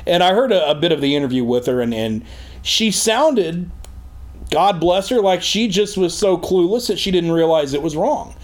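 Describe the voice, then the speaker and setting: Loud sarcastic guy cohost, dry jokes, kinda high voice, in a studio. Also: expressive, moderate pace. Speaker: co-host, political commentator. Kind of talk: casual chat. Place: recording studio.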